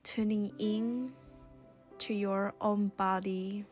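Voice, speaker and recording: Slow, even-toned woman guiding yoga over music. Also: medium pitch. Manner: soothing, gentle, unhurried guiding with pauses. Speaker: yoga instructor. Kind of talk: guided yoga and meditation instruction.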